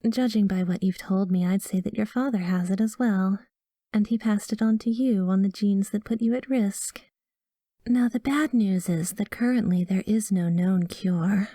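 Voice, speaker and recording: A woman's voice: mid-range voice, normal speed, dark tone, no echo, close to an everyday voice. Woman acting a chirpy, unsettling doctor, close and dry. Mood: clinical, chirpy. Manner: breezy, cutesy, coaxing, blithely delivering grim news. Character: chirpy, awkward female doctor, secretly an obsessive yandere stalker. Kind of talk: ASMR roleplay acting addressed to the listener. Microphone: close studio mic.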